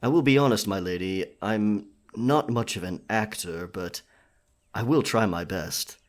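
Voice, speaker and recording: Man acting noble knight, low-pitched, earnest, polite, wordy formal speech, ASMR roleplay. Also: measured pace, close to an everyday voice. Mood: earnest, wary. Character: earnest, courteous royal knight from a futuristic realm. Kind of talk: scripted roleplay ASMR audio drama.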